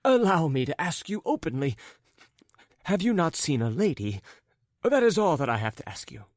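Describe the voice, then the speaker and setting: A man voicing a muddled, apologetic middle-aged gentleman in a story, agitated and wordy, stumbling over and correcting himself. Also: on the high side, sing-song, unhurried, dry recording, clean studio sound, pitched above the performer's own voice.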